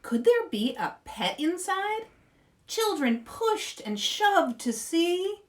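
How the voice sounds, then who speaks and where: A woman hosting story-time for children, reading aloud in a playful, expressive way and chatting with young viewers. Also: extremely high pitch, takes it slowly, distant mic. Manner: playful, expressive, asks listeners questions.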